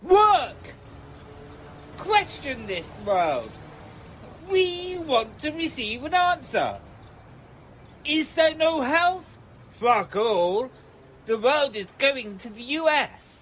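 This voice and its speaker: A woman's voice: high-pitched, big pitch swings, very slow, long pauses, fairly bright tone, a little hiss or hum, heavily acted. A woman playing a ranting, confrontational street doomsayer in an audio drama, declaiming in rambling speeches. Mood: agitated, accusing. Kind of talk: theatrical audio drama monologue.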